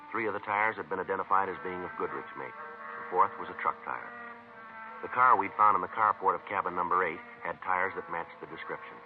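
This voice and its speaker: A man playing a calm, dogged police detective in a 1950s radio crime drama, matter-of-fact and clipped. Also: low-pitched. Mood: matter-of-fact narration. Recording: tinny, noisy vintage radio recording.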